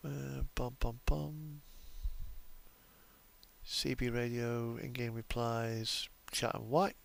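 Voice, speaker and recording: A man's voice: medium pitch, level tone, takes it slowly, creaky. A man giving casual, unscripted game commentary as a livestream host, thinking aloud and muttering to himself hesitantly.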